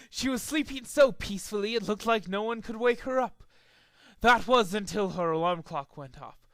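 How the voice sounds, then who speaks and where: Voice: mid-range voice, a bit bright, no echo. An amateur reader narrating a fan fiction story as a dramatic reading, with occasional stumbles over the text.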